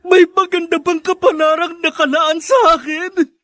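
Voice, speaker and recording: A woman's voice: extremely high pitch, fairly even intonation, normal speed, a bit bright, no room sound, studio quality. An actress playing a poor young woman in a Filipino radio drama, speaking in a plaintive, tearful way. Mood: anguished, tearful. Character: poor young woman lamenting a hard life.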